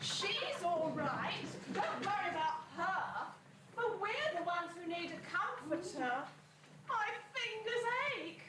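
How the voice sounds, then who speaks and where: A piercingly high, whining ugly stepsister played by a woman from a comic pantomime. She is snobbish and affected, drawing out her rhymes slowly. The voice rings in a very echoey space. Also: expressive, distant mic, exaggerated voice. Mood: whining, reproachful. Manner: plaintive, affected, drawn-out delivery. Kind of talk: amateur pantomime stage acting in rhyme. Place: school hall stage, distant microphone. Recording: very echoey, noisy amateur stage recording.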